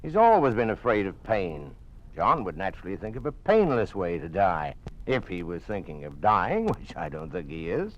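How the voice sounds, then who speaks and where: An actor playing a loyal, concerned middle-aged doctor in an old-time radio drama, animated and questioning, on a muffled old recording. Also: male voice, low voice, normal speed. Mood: reassuring.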